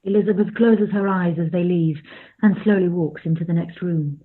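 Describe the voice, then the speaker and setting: A woman's voice: lowish voice, steady tone, normal speed, slightly rough. A woman narrator reading scripted stage directions for a radio play. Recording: thin phone-like sound.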